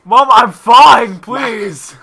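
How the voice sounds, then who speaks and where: A man's voice: extremely high pitch, extremely slow, vocal fry, pushed and bright, voice pushed up, full character voice. A man playing an exasperated son who whines in protest at his fussing mother, reading a game aloud.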